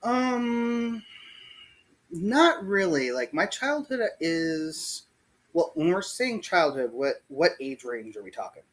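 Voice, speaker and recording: A man in his forties, born in Puerto Rico and raised in the US South, recalls his life in a casual video-call interview, pausing often and thinking aloud. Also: on the high side, big pitch swings, a bit bright. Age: 40s. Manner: slow, reflective, pauses often with "um" and "like". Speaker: interview guest. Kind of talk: casual oral history interview.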